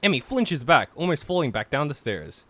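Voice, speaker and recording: A man's voice: fairly high pitch, big pitch swings, moderate pace, bright, forceful tone. A man hosting a play-along, reading game narration aloud with casual banter and stumbling over some words. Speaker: host of group play-along.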